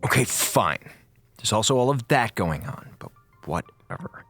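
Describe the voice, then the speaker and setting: A man's voice: on the low side, big pitch swings, measured pace, a bit bright, husky, faint music behind, exaggerated voice. A man playing a sardonic, melodramatic communications officer on a space station in an audio drama, with a theatrical, mock-solemn delivery. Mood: bitter, grumbling. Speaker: communications officer recording a log. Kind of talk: audio drama acting, log narration.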